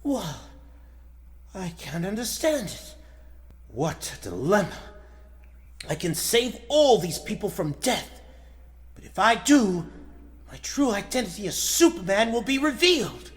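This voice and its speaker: Man playing an earnest, slow-spoken radio superhero. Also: high voice, big pitch swings. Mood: resolute. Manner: melodramatic, halting, earnest. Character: noble male superhero in his secret identity, earnest. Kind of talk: 1940s radio serial acting.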